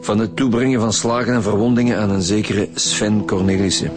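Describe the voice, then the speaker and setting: Give me a man acting a stern, low-voiced Flemish detective. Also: fairly even intonation, a little fast, over music, natural voice. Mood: stern, formal. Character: dry, sceptical male police detective pressing suspects. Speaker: actor playing a police detective. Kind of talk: radio drama dialogue.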